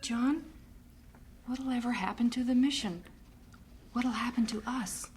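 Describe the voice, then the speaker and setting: An actress playing an affectionate, strong-willed missionary wife in an old radio drama. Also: female voice, on the high side, a little slow, darkish tone, a little hiss or hum, natural voice. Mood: shocked, fearful.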